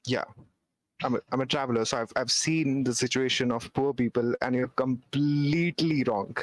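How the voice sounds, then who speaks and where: A man's voice: mid-range voice, steady tone, moderate pace, no echo, rough recording. A young man from India, a philosophy student who challenges and repeats his questions, mocking at times. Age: young adult. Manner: repetitive, challenging, mocking with laughs. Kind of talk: casual debate on video chat.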